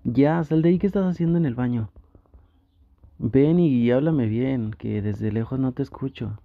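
A man with a Mexican accent playing a flustered, easily tempted gamer boyfriend in an intimate ASMR roleplay, talking in a halting, coaxing way. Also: medium pitch, vocal fry, no echo, narrow, tinny audio, natural voice. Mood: surprised, admiring.

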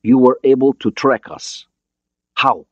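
A man voicing a weary Soviet naval officer with a Russian accent and formal, halting English in an audiobook. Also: mid-range voice, dry recording, highs cut off, voice pushed up, natural voice.

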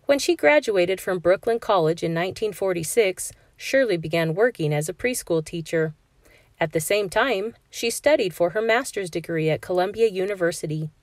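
A woman reading a children's picture book aloud in a clear, steady storytelling voice for a classroom. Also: lowish voice, no echo, studio quality. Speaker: read-aloud narrator.

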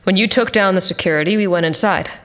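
An actress playing a tough, exasperated war-robot pilot in an audio drama, assertive and expressive. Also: female voice, mid-range voice, fast, noisy background, lightly acted. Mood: weary recounting.

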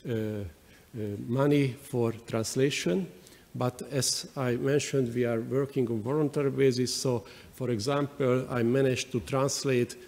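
Hungarian man, forties, panel answer, halting with many fillers, lectern mic in a reverberant hall. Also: mid-range voice, steady tone, darkish tone. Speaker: panel speaker. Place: large wood-panelled conference hall. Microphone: lectern microphone.

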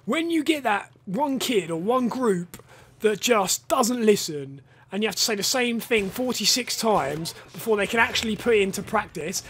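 A young man with a hoarse, partly lost voice, chatting casually over gameplay on a headset and swearing at the game. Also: high-pitched, expressive, moderate pace, fairly bright tone. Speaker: gaming YouTuber and rugby coach. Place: home room, indoors.

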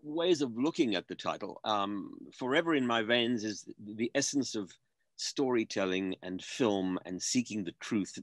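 A man in his seventies from South Africa, a guest on a video call interview, speaking earnestly and halting over repeated starts. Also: lowish voice, fairly bright tone, no room sound. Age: 70s. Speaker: guest filmmaker. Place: home room.

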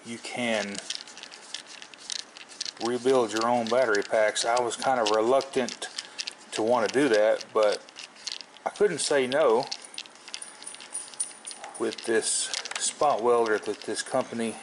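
A middle-aged man giving a casual hands-on tutorial, explaining haltingly and thinking aloud as he works indoors at a table. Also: medium pitch. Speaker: host of a tool repair video channel. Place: indoors at a wooden table at home. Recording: steady background noise, home video.

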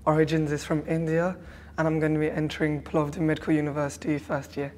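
Young man from the UK, flat voice, hesitant, plain speech, long reverb. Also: a little high, fast. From United Kingdom. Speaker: medical student. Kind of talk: interview answers.

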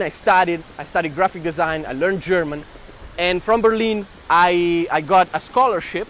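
High-voiced young Italian man outdoors. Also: poor audio. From Italian family, raised near Milan. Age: 20s. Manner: animated, exclamatory, repeats phrases. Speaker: travel filmmaker. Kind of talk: talking to camera about life and travels. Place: outdoors in city squares and streets. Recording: clear urban street noise.

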